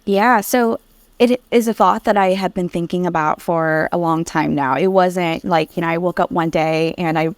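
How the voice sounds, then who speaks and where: A woman's voice: on the low side, moderate pace, no echo. Candid woman guest, from Vietnam. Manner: candid, lively, laughs while recalling. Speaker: podcast guest. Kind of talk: personal storytelling in an interview. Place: Vietnamese-born guest, raised in America.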